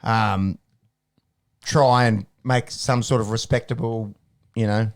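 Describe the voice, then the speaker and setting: A man's voice: lowish voice, fairly even intonation, slow pace. A man co-hosting a casual sports podcast, rambling with restarts and jokes. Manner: rambling, restarts, jokey, laughs often. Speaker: podcast co-host.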